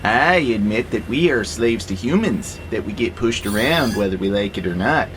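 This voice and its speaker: An actor playing an obedient, literal-minded freight robot in an audio drama, with a pedantic, matter-of-fact manner. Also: male voice, fairly low pitch, moderate pace, natural voice. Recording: clear steady background noise, vehicle-like hum.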